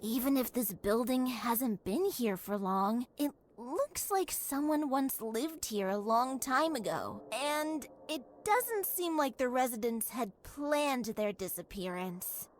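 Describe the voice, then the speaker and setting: A woman voicing a wary, learned young animal companion in a cartoon adventure game, measured and expressive. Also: a little high, a little hiss or hum. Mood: thoughtful.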